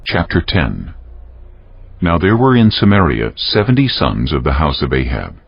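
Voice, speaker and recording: A man giving solemn, measured, scripted dramatic narration of scripture as a storyteller. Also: a bass voice, wildly swooping pitch, creaky, husky. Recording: dull audio with music underneath.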